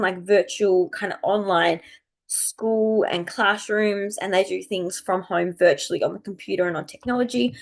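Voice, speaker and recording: A woman, slightly croaky from a cold, chatting casually and rambling as she thinks aloud to her viewers. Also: mid-range voice. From Australia. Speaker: astrology video host. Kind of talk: casual unscripted chat to viewers.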